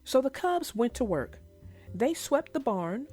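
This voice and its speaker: A woman's voice: sits high, very animated, unhurried, mellow, soft background music. A woman narrating a children's read-aloud story, addressing young listeners directly as host.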